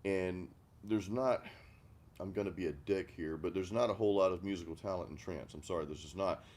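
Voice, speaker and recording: Man, from the Deep South, middle-aged, deep voice, rambling casual host, self-deprecating. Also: brisk, darkish tone. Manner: rambling, self-deprecating, frequent restarts and laughs. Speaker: host of a music chat stream. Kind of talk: casual chat over beers, reading live comments.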